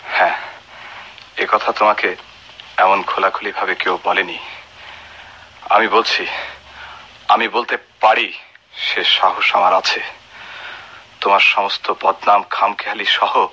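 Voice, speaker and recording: Forceful, husky, deep-voiced ex-fighter. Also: male voice, flat delivery, heavily acted. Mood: intense, resolute. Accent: Bangladeshi. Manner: forceful, deliberate. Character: bold, blunt former freedom fighter, protective brother. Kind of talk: radio drama acting. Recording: dull old radio recording.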